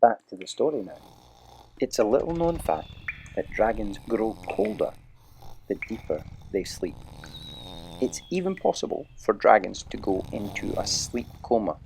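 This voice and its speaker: A man narrating a children's story aloud in an expressive, unhurried way with dramatic pauses. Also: lowish voice, creaky, slight background noise.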